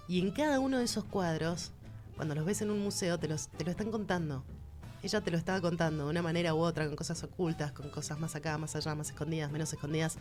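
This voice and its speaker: A woman's voice: deep voice, a little mellow. A woman with a Rioplatense accent hosting a casual art-history segment, chatty and emphatic, speaking straight to the listener. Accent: Rioplatense Spanish. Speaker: culture segment host.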